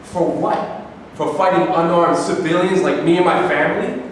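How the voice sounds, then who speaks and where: A man's voice: high-pitched, moderate pace, natural voice. A man playing an indignant, accusing dispossessed Palestinian in a declamatory staged reading of a play. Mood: indignant, argumentative. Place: reverberant indoor hall, staged reading. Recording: echoey hall from far off, hissy.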